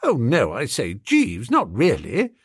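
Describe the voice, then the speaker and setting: A man voicing an amiable, dim young upper-class English gentleman in an audiobook, hesitant and full of old-fashioned exclamations. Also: medium pitch, huge pitch swings, takes it slowly, husky, no room sound, clean studio sound, full character voice. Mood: dismayed.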